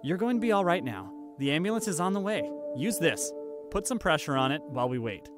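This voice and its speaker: A man's voice: on the high side, sing-song, fairly quick. A man playing a polite, dutiful police officer in a dramatic manga dub, courteous and protective. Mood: reassuring urgency. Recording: music behind the voice.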